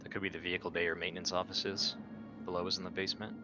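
A man's voice: deep voice, flat delivery, rapid-fire, music in the background. A man chatting casually as a player in a tabletop roleplaying game. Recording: dull audio with clear background noise.

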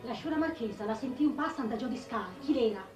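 An actress playing an inquisitive maid with a Lombard accent in a 1950s Italian television period drama. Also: female voice, a little high, lively intonation, fast, natural voice. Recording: dull, echoey, distant old TV soundtrack, music behind.

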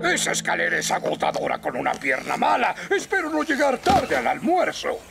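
A man voicing a proud, blustery elderly fire chief in a Latin American Spanish cartoon dub, his creaky voice given to groans. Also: sits high, wildly swooping pitch, a little fast, heavily acted. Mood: weary. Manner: blustering, full of groans and ohs. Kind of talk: animated children's cartoon dub. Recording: loud music behind the voice.

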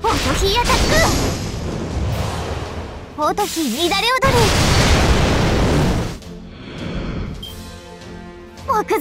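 A woman playing a young, sweet, clingy dragon princess in a mobile game, very polite and girlish with theatrical, coquettish delivery. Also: extremely high pitch. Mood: spirited battle cries. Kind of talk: Japanese mobile game character voice acting.

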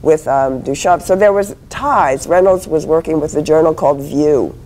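A woman panelist at an academic conference, answering haltingly with many fillers and restarts. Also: low-pitched, a little mellow, slight background noise. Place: hotel conference room.

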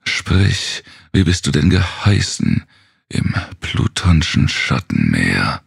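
A man with a gravelly, husky voice gives a brooding, theatrical recitation of gothic horror verse, sometimes sinking toward a whisper. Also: extremely low pitch, big pitch swings, slow, dry recording, clean studio sound. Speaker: narrator.

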